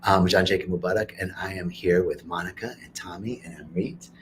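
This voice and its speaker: A man's voice: low-pitched, steady tone, fairly quick, slight background noise. Upbeat joking man hosting an interview. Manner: upbeat and joking, laughs often. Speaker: host. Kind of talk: casual interview. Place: retreat center in Costa Rica.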